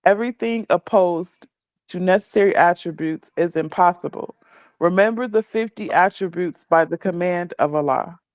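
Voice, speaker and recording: A woman's voice: on the low side, moderate pace, darkish tone, dry recording. A woman, a student in a class, reading a religious text aloud line by line.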